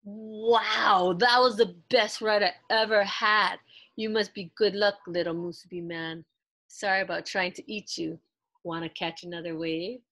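A woman's voice: medium pitch, fairly even intonation, unhurried, a bit bright. Storybook surfer guy read by a woman: friendly, sly, casual, coaxing delivery. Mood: thrilled.

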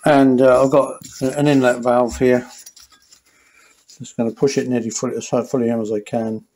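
A man's voice: medium pitch, moderate pace, low-quality recording. Man talking through an engine rebuild. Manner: thinking aloud, relaxed, with many fillers and restarts. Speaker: motorcycle mechanic. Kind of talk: hands-on workshop commentary.

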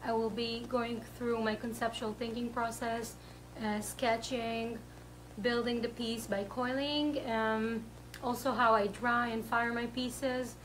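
A woman's voice: a little high, level tone, takes it slowly, a bit bright, slight background noise, low-quality recording. A young adult woman, an artist, giving a somewhat hesitant scripted invitation from her studio. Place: indoor ceramics studio.

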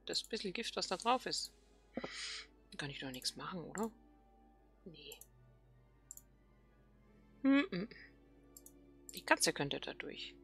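Woman, low-ish husky voice, unhurried, casual gameplay commentary, murmuring to herself. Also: sing-song, fairly bright tone, soft background music. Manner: musing, murmuring questions to herself. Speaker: let's play host.

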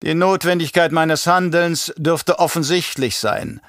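Scheming old baron villain for an audiobook, high silky voice, looks down on everyone. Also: male voice, fairly even intonation, unhurried, a bit bright, no echo, clean studio sound. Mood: coldly scornful. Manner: silky condescending, theatrical villain delivery. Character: scheming, mocking, imperious older male baron, uncle. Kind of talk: audiobook character acting.

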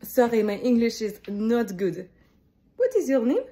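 Silly French doctor clown for kids' skit, played by a woman: high, very animated, apologetic, halting, French accent. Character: clumsy, silly French lung doctor clown entertaining children. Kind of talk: improvised children's show skit.

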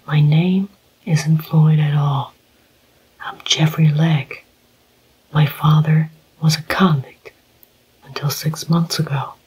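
A reader whispering the part of a cold, sneering young husband in a storybook, gruff and slow. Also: mid-range voice, fairly even intonation, a little mellow, poor audio.

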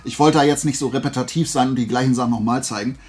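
A man's voice: medium pitch, fairly even intonation, quick pace, darkish tone. A man chatting casually to viewers like a vlogger, in a rambling, digressive and self-deprecating way. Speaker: YouTube vlogger showing flea market and fair finds.

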